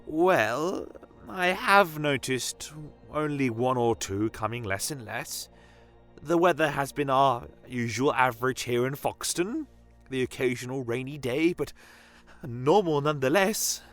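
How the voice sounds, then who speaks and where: Man putting on a nervous, higher-pitched village pastor. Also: animated, fairly bright tone, soft background music. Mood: nervous, chatty. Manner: halting, stammering, with nervous chuckles. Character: nervous village pastor, male, hesitant and unsettling.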